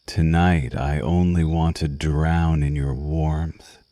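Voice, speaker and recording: Man playing a very deep, slow seductive vampire. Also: steady tone, dark tone, dry recording. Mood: teasing, intimate. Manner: languid, teasing, purring endearments. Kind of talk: ASMR roleplay, intimate slow murmur.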